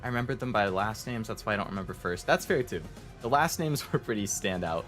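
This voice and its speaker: A man's voice: on the low side, lively intonation, fast, fairly bright tone. A man giving casual, conversational commentary as he streams a video game, musing as he goes. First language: English. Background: game music and dialogue.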